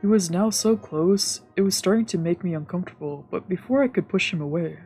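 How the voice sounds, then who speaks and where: A woman's voice: fairly low pitch, brisk, husky. A woman streamer reading visual novel narration aloud for a let's play. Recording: soft game music behind.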